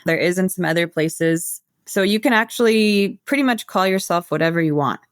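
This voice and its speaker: A woman's voice: fairly low pitch, moderate pace, no echo, clean studio sound. A woman from the United States, a guest herbalist, explaining her practice in an earnest, flowing way with frequent filler words. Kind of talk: casual podcast interview.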